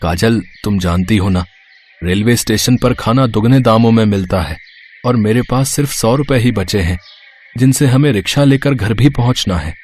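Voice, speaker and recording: A man's voice: fairly low pitch, moderate pace, darkish tone, lightly acted. A man playing a poor young father, respectful and careful, in a moral story. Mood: worried.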